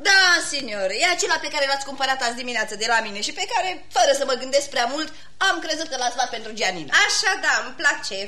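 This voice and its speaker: An outspoken village woman in a radio theatre comedy. Her voice is high and bright, with lively swings of pitch and a pert, emphatic way of speaking. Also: few pauses, roomy sound. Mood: forthright.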